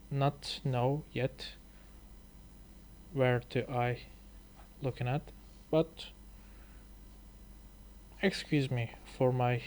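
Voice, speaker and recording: A man from Turkey with a Turkish accent, a YouTuber learning English who talks and reads aloud hesitantly, with many pauses and fillers. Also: medium pitch, darkish tone. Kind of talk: halting casual reading vlog.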